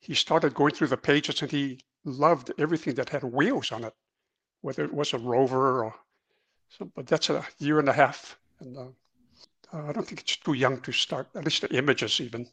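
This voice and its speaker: Husky-voiced man from Hawaii. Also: medium pitch, moderate pace, a bit bright. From Hawaii, United States. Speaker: guest, book illustrator. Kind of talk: casual anecdote in interview.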